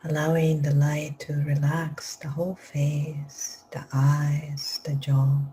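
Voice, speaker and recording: Low calm lady leading a meditation, soft and half whispered, super slow, faint hiss behind. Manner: slow soft-spoken instructions, drifting into whispers. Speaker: meditation teacher. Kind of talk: guided meditation. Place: live group session.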